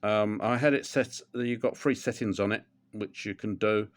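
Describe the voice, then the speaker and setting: A man's voice: lowish voice, fairly even intonation, moderate pace. An older man, chatting casually through a how-to demonstration at home, rambling with plenty of ums and restarts. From England. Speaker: motorcycle vlogger.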